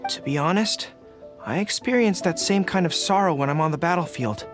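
A man voicing an earnest young general in a video game, speaking sincerely and a little haltingly. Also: on the high side, music in the background, close to an everyday voice. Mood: sorrowful, sincere. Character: earnest young soldier, dog-eared general.

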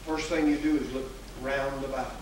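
A man's voice: mid-range voice, flat delivery, normal speed. An older man preaching a folksy, emphatic sermon from a church pulpit, with repeated phrases and filler uhs, recorded on 1980s video. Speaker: pastor. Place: large church sanctuary at a pulpit. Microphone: pulpit microphone, far camera. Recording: echoey church hall from far off, hissy 1980s video.

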